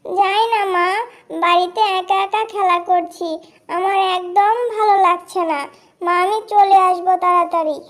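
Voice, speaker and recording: A woman's voice: extremely high pitch, fairly even intonation, moderate pace, fairly bright tone. A woman voicing an eager, tender-hearted little girl animal in a cartoon fairy tale, earnest and pleading.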